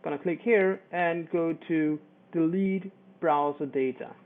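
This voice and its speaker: A Danish-accented man presents an informal software walkthrough with a slightly high voice. He explains things haltingly and hesitantly. The sound is narrow and lo-fi, like a poor line. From Denmark. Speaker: product reviewer and presenter. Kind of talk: informal screencast tutorial and promotional presentation. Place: voice-over for screen recording.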